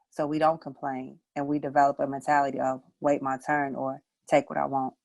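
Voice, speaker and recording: A woman's voice: sits low, vocal fry, darkish tone, no room sound. A woman reciting her own poetry as a spoken word piece. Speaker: poet, showcase participant.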